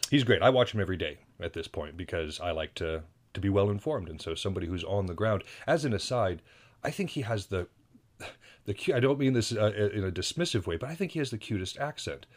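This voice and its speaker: A man's voice: lowish voice, animated, brisk. An American man hosting a solo podcast, talking casually and rambling with self-deprecating asides and frequent restarts. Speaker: podcast host. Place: indoors at home.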